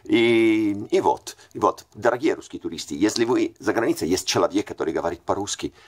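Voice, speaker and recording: A man's voice: on the low side, animated, fairly quick. A man in his forties with an Italian accent, talking casually to camera and hesitating often with fillers and self-corrections. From Italy. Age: 40s. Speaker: language learner vlogger. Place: small bright room indoors.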